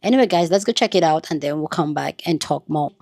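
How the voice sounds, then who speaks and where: Low-voiced woman chatting to her viewers. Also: fast, a little mellow, no room sound, clean studio sound. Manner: friendly, addresses viewers directly. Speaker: reaction channel host. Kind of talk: casual chatty commentary to viewers.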